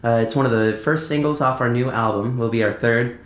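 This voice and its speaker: A young American man, a musician guest, chatting casually and haltingly in an interview. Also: mid-range voice. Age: young adult. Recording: echoey, roomy sound.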